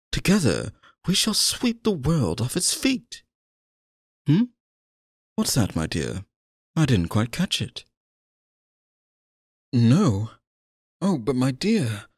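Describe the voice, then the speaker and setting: A man playing a sinister, possessive, courtly stage magician in an ASMR roleplay, coaxing the listener with theatrical, drawn-out phrasing. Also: mid-range voice, breathy edge, no room sound, clean studio sound, full character voice. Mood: triumphant, then menacing, possessive.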